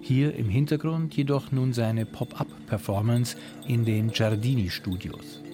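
A man reporting as a culture journalist, reading a scripted radio feature in a measured, descriptive way. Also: medium pitch, over music.

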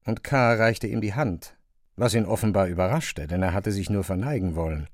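A man's voice: lowish voice, moderate pace, dry recording. A man narrating a classic novel as a scripted literary reading, close to a studio microphone.

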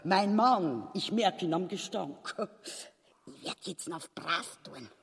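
A man acts out a greedy, scheming old-world shrew of a wife in grotesque comedy, ranting in coarse Austrian dialect. The voice is husky and croaky and swings widely in pitch, full of theatrical self-pity. It rings in a long hall reverb. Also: animated, slow pace, full character voice. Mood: startled, sly. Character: Mother Ubu, crude greedy scheming wife on the run. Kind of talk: grotesque stage comedy acting.

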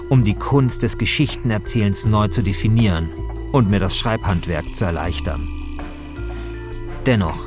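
Brooding noir narrator, played by a man, grave and theatrical, deliberate delivery, crime-radio parody over prominent music. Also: fairly low pitch, lightly acted. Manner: portentous, dramatic, deliberate. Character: brooding film-noir narrator, a tormented writer under deadline.

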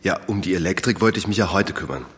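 A middle-aged man acting a calm father of two in an audio drama, giving short, dry answers. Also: sits low, fast talker, breathy edge, full character voice. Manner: calm, dry, brief replies. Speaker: local villager.

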